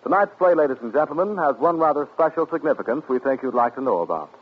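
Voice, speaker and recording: A man's voice: on the high side, animated, quick pace, husky. Polished 1940s radio announcer, man. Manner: polished, ceremonious. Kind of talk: formal 1940s broadcast announcing. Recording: tinny 1940s radio broadcast.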